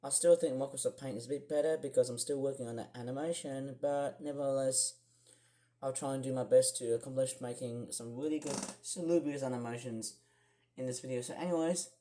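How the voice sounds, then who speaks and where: A young man with a croaky voice, chatting casually in a rambling vlog with many fillers and restarts. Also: mid-range voice, mellow. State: croaky, clearing throat. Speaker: vlogger. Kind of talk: casual screen-share vlog.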